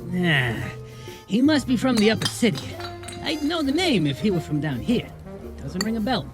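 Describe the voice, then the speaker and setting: An actor playing a wry, slangy goblin bartender in a noir audio drama, familiar and streetwise in manner. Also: male voice, high voice, sing-song, moderate pace. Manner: slangy, familiar, calling people kid. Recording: music playing behind the voice. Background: music.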